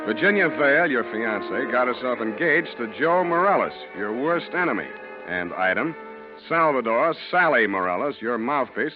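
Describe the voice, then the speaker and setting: An actor playing a street-wise, unimpressed private detective, narrating and talking in a wry, slangy, deadpan 1940s radio style. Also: male voice, medium pitch, close to an everyday voice. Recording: muffled old 1940s radio recording with music.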